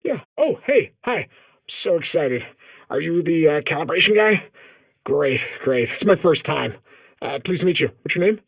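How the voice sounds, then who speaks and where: Give this one a lively, higher-pitched male voice for an eager, naive little robot in a comedy game. He babbles, apologizes and keeps doubting himself. The pitch jumps around a lot, and the recording is dry and close. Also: moderate pace, creaky, breathy edge, dry recording, exaggerated voice. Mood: giddy, eager. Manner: babbling, second-guessing, apologetic. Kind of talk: comedic video game voice acting.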